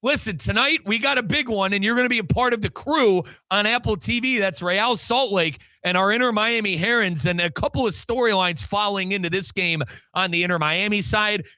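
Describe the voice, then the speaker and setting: A man's voice: high voice, pushed and bright, dry recording. An American man hosting a soccer show, talking in an emphatic, colloquial way and repeating phrases for effect. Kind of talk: sports talk commentary and interview.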